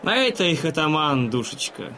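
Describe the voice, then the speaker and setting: A condescending, blasé gentleman in a radio play, talking down to his companion. His voice is rather high and mannered, with slight ambient noise behind it. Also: very animated, normal speed. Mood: condescending, explaining. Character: smug, condescending gentleman spectator courting a young lady. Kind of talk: audio drama acting.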